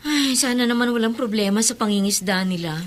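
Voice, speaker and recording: A woman's voice: on the high side, fairly quick, lightly acted. A woman playing an anxious woman waiting at home for her loved ones in a radio drama, with a Filipino accent. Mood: worried, brisk.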